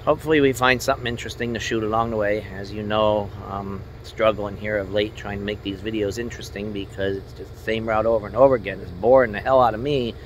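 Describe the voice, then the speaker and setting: A man's voice: fairly even intonation, fast talker, hardly any pauses. An American man, a truck driver, talking casually and unscripted to camera in a rambling, plainspoken way. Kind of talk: casual vlog narration to camera. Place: outdoors by trucks and in a moving truck cab. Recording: noisy outdoor and truck cab, engine rumble. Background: vehicle and engine noise.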